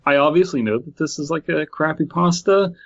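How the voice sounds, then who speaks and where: A man's voice: on the high side, a little fast, highs cut off. A man co-hosting a comedy podcast, joking and reading, sometimes stumbling over his words. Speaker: podcast co-host. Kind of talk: casual comedic banter and reading.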